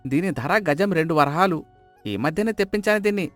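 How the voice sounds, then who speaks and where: A man's voice: on the high side, expressive, brisk, fairly bright tone, soft background music. A man playing a sly, miserly cloth merchant in a moral story, wheedling, exclaiming and justifying himself.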